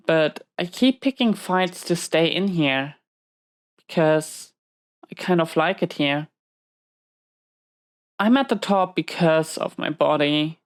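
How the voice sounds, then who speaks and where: Woman playing a slow, low-voiced, weary villain inmate. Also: clear, resonant tone. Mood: reflective, matter-of-fact. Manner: confiding, halting, reflective. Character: battle-scarred female prison inmate villain, wounded, weary, intimate. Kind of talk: slow intimate audio roleplay monologue to a listener.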